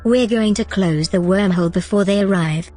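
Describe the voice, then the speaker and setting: A coolly persuasive female AI played by a woman speaks at a medium pitch over faint music. The delivery is composed, determined and matter-of-fact, as acted for sci-fi audio drama. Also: normal speed, dark tone, close to an everyday voice. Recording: music behind the voice.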